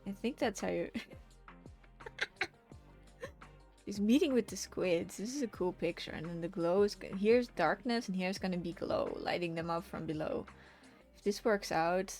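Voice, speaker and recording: Soft-murmuring woman streamer. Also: medium pitch. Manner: soft, murmuring to herself, often half-whispered; chatty, reacting to chat with laughs. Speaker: streamer painting live. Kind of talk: casual chat while drawing.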